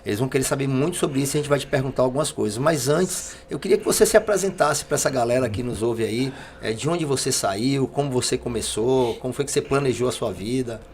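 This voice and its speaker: A man hosting a podcast, interviewing casually and haltingly, repeating words as he builds his questions. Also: mid-range voice, expressive, a little mellow, a little hiss or hum. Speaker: podcast host.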